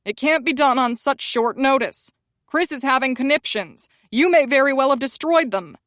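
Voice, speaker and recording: A woman's voice: high-pitched, normal speed, fairly bright tone, dry recording, clean studio sound, voice pushed up. A woman voicing a panicky male publicist with a grating whine and a frantic, accusing way of talking. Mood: whining.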